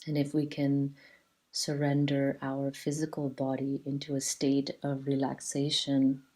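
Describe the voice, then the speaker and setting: A woman teaching a guided yoga relaxation in a soothing, measured way, leaving long pauses between short phrases. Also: very deep voice, completely flat delivery, a little mellow.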